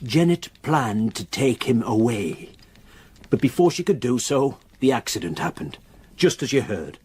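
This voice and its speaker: An actor playing a grave, reluctant local countryman in a radio drama, with a solemn, halting way of telling a dark story. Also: mid-range voice, very animated, breathy edge. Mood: sombre recounting.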